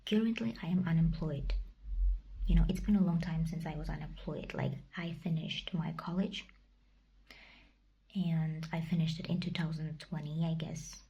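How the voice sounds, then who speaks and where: Young woman whispering a vlog, breathy and low, hesitant, stops a lot to find words. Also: fairly even intonation, moderate pace, mellow, highs cut off. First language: Kazakh. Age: young adult. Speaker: vlogger. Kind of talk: casual personal vlog.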